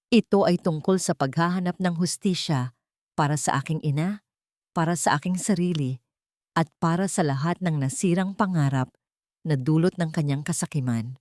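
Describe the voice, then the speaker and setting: Low-pitched woman narrating a dramatic story. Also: darkish tone, dry recording, studio quality. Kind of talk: scripted first-person narration of a melodrama.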